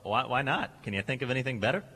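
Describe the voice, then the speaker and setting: A man's voice: medium pitch, lively intonation, fast, bright, forceful tone, husky, heavily acted. An actor playing a brash, hearty young businessman who talks big, in a stage radio play. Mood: hearty, boastful. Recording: echoey theatre hall.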